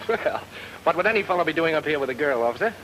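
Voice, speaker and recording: A man's voice: fairly high pitch, lively intonation, very fast, fairly bright tone. An actor playing a tough, cool-headed male detective with a clipped, commanding delivery in an old radio noir drama. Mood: urgent, wry. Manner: clipped, hard-boiled, commanding. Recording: noisy old radio recording.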